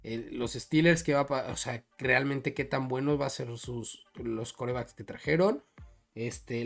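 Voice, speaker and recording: A man co-hosting a sports podcast, discussing football casually and circling back over his words as he reasons. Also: medium pitch.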